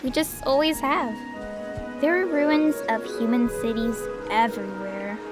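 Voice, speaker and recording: Woman voicing a high-pitched friendly young cat girl. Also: fairly even intonation, unhurried, close to an everyday voice. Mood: curious. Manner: caring, chatty, flustered when self-conscious. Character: friendly young female cave lioness humanoid, eager and awkward. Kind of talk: audio drama acting. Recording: background music under the voice.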